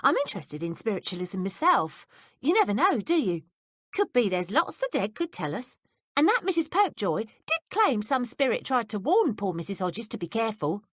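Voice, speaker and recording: A woman voicing a clever, nosy young housemaid who asks eager, high-pitched questions. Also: very animated, moderate pace, a bit bright, no echo. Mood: probing.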